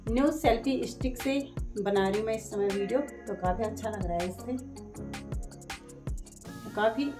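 Higher-voiced woman vlogger. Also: moderate pace, pauses often, echoey room. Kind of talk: casual family vlog chat. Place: living room at home. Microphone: handheld phone camera. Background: background music.

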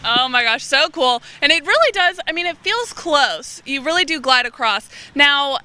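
A woman's voice: high voice, animated, moderate pace, bright, forceful tone. A young woman field reporter, bubbly and enthusiastic, reporting live outdoors on a handheld mic. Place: outdoors at an ice rink downtown.

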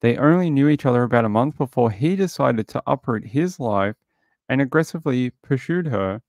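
Middle-aged male streamer, dry close sound, gravelly, chatty recap host. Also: medium pitch, expressive, a little fast, a little mellow, no echo. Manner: chatty, greets viewers, recaps and reads articles aloud. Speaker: livestream host reviewing a true crime show. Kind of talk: casual livestream commentary.

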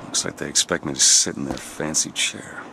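An actor playing a gruff, world-weary American tough guy in a video game, with a gravelly voice. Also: a bass voice, fairly even intonation, brisk. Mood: wry, gruff. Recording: heavy rain and thunder noise.

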